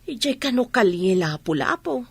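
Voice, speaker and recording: A woman's voice: medium pitch, very animated, moderate pace, breathy edge, dry recording. A woman playing a reluctant, plain-spoken village mother short of money in a comedy radio drama.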